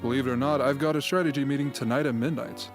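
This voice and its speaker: Courtly, guarded official played by a man, mid-pitched, polite radio-play delivery over clear music. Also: steady tone, fast talker, lightly acted. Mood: genial, sly. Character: smooth, genial, guarded game official, adult man. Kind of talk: amateur radio drama acting. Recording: music behind.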